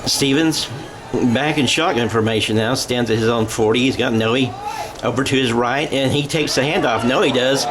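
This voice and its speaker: A man's voice: medium pitch, normal speed, background noise. A middle-aged American man calling a football game in radio play-by-play style, with a folksy, self-correcting manner. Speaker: play-by-play sports announcer.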